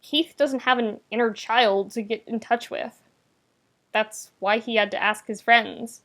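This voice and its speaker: A woman with a fairly high voice narrates a story like an audiobook. Her delivery is wry and conversational, leaning on key words for emphasis. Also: fairly even intonation, a little slow, a bit bright. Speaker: podfic reader, narrator. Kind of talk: scripted narration of fan fiction, expressive audiobook reading.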